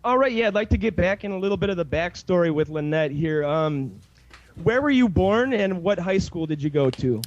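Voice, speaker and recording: High-pitched man hosting a podcast. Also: expressive, a little fast, dull audio. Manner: friendly, fan-like, with frequent "uh" fillers. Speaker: podcast host. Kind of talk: casual podcast interview.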